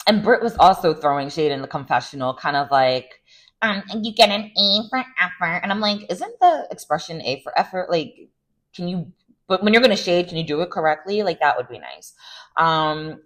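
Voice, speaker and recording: Woman vlogger, low voice, casual recap style, sassy and animated. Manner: chatty and opinionated, with sarcastic asides. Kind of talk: casual reality TV recap monologue.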